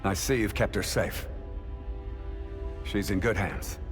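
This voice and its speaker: A man playing a stern military captain in a video game, grave, measured and authoritative. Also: on the low side, fairly even intonation. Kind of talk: video game cutscene acting. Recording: long hall reverb, loud music behind.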